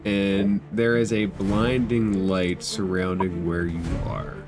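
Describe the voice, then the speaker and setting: A man's voice: low-pitched, flat delivery, slow. A man narrating a tabletop fantasy game as game master, improvising descriptions and rulings for the players. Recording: background instrumental music under voice.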